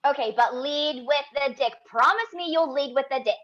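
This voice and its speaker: A woman's voice: high voice, normal speed, fairly bright tone. A woman playing a blunt, bossy young best friend in a screenplay table read, brash and pushy, on dull lo-fi audio.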